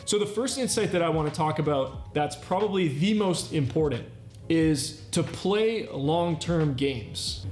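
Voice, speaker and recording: Man, 30s, earnest explainer, slightly high voice, echoey room, faint music behind. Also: a little mellow. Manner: earnest, explanatory, with repeated list-like phrasing. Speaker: channel host. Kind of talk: self-improvement talk. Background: faint background music.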